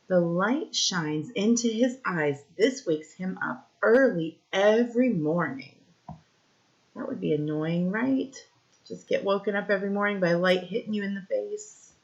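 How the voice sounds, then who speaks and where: A woman reading a picture book aloud to young children like a teacher, explaining simply and pausing to ask questions. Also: medium pitch. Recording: dull, lo-fi recording.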